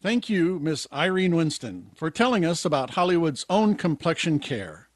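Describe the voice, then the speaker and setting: A man acts a vintage radio pitchman, polished and formal. His fairly high voice is recorded dry and close. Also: very animated, moderate pace, no echo. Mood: polished selling. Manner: formal, salesmanlike. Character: polished old-time radio announcer and pitchman. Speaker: announcer. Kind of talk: 1950s radio announcing.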